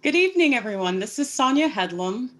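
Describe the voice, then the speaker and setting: A woman's voice: fairly high pitch, very animated, brisk. Woman doing an interview, friendly, lots of wow and amazing. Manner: friendly, encouraging with short affirmations. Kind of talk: casual interview conversation.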